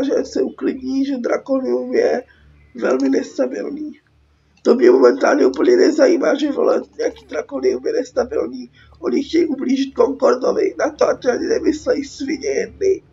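High voiced czech girl gamer with a cold, creaky, swears while narrating a game, muffled noisy mic. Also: warm tone. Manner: sweary, exasperated reactions. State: sick with a cold. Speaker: gaming YouTuber. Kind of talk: casual game playthrough commentary, reading out dialogue. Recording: muffled mic with background noise.

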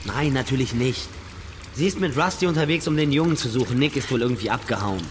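A man's voice: mid-range voice, fast, noisy background, natural voice. An actor playing an earnest, protective man who turns curt with others, in a crime audio drama. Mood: urgent. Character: protective, brusque man guarding a young woman.

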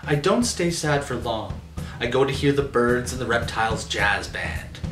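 A middle-aged man reads a children's storybook aloud with expression. His voice has an ordinary male pitch and rings in a roomy space. Faint music plays behind him. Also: expressive, normal speed. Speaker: storybook reader. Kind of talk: expressive read-aloud of a children's picture book.

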